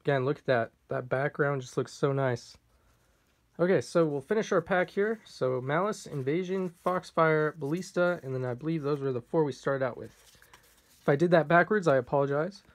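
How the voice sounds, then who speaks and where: Chill guy opening card packs, slightly high voice, kinda hoarse, calling out names. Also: moderate pace. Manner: relaxed, reads card names off one by one. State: slightly hoarse, clearing throat. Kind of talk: casual unboxing commentary. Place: indoors at a table.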